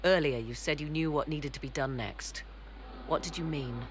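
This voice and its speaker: An actress playing a proud, cutting sorceress in a video game, with formal, theatrical, disdainful delivery. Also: female voice, deep voice, moderate pace, slight background noise, lightly acted. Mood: cool, probing.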